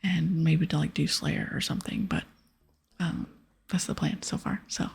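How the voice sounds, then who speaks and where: A woman speaking in a soft, breathy whisper close to the mic, giving gentle, casual ASMR gameplay commentary. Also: deep voice, sing-song, normal speed. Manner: gentle, hushed, casual rambling. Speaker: ASMR gaming video creator. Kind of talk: ASMR whispered gameplay commentary with quest dialogue read aloud.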